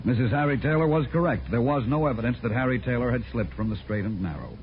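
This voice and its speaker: An actor playing a calm, businesslike FBI agent with formal, clipped delivery in 1950s radio drama style. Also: male voice, mid-range voice, fast talker, natural voice. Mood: matter-of-fact. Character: calm, matter-of-fact male FBI agent narrating his case. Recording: muffled 1950s radio recording, music behind.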